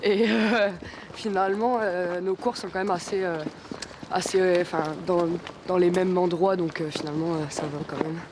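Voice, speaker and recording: A young woman student with a Saint-Pierre-et-Miquelon French accent talks casually, hesitating and filling pauses often. She is recorded outdoors, and clear street noise sits behind her voice. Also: on the low side, fairly even intonation. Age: young adult. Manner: hesitant, many fillers, laughs easily. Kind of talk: casual interview. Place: outdoors on an urban campus.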